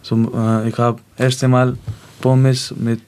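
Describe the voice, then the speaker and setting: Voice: mid-range voice, level tone, slow, noisy background. A nineteen-year-old from Afghanistan, a native Punjabi and Pashto speaker, talking casually about personal experiences. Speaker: youth radio participant.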